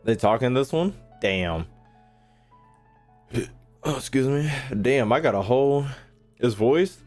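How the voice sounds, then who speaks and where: A man with a middling pitch gives casual livestream commentary on a game. He talks straight to his viewers in loose slang, swearing and joking freely, while game music plays behind him. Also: animated, slow, a little mellow. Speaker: game streamer.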